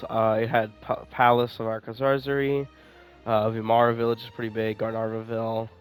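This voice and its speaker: A man's voice: mid-range voice, level tone, fairly quick, smooth. A man doing casual, rambling gameplay commentary, thinking aloud and swearing now and then. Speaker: gaming streamer playing and commenting. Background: game music.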